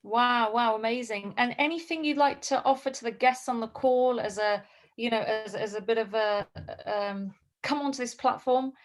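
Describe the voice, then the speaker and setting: A woman's voice: on the high side, normal speed. Effusive woman hosting a group call. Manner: upbeat and effusive, repeats words. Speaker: host.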